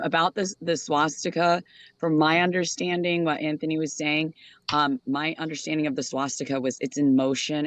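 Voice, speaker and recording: A man's voice: mid-range voice, fairly bright tone, no echo. A man speaking as a guest panelist, telling a long personal account earnestly, with many fillers and restarts.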